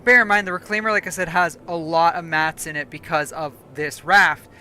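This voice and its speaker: A woman's voice: lowish voice, fairly even intonation, fairly quick, sharp tone, slight background noise. A woman streaming a game, chatting casually and rambling, correcting herself as she goes, her voice starting to go. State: losing her voice. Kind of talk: casual live gameplay chat.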